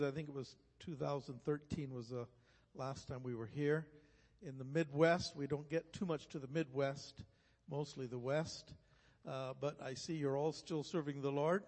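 A man, a guest preacher, opening his sermon with a relaxed, friendly greeting. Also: on the high side. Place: church sanctuary. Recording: long reverb of a church hall.

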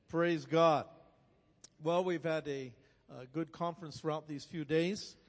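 Man, slightly high voice, guest preacher, relaxed friendly greeting, long reverb. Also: takes it slowly. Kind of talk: sermon opening. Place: church sanctuary. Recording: long reverb of a church hall.

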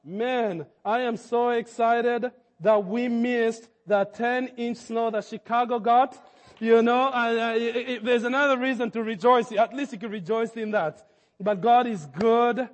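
A man's voice: extremely high pitch, fairly even intonation, unhurried, in a large hall. A man preaching as a pastor. He halts and repeats words often, then rises to emphatic peaks. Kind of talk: sermon.

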